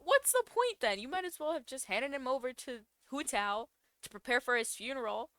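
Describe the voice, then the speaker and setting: Bright-voiced woman reacting playfully. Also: high voice, lively intonation, moderate pace, no room sound, clean studio sound. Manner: playful, sarcastic, questioning. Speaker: streamer reacting to a game story. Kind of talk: casual reaction commentary.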